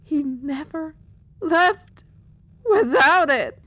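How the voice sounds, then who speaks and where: A woman's voice: sits high, lively intonation, drawn-out words, fairly bright tone, no room sound, exaggerated voice. A woman playing a weary, hard-bitten female pony mercenary commander in an audio drama, speaking in an earnest, world-worn way. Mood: worried, earnest.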